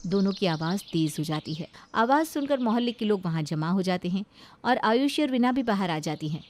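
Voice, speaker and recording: A woman's voice: mid-range voice, expressive, fairly quick. A woman reading scripted narration for a children's cartoon story.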